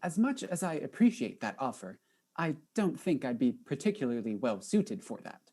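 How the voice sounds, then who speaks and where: A woman playing a polite, earnest robot chief with formal, measured speech in a comedic audio drama. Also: a bass voice, sing-song, mellow, slightly rough, heavily acted.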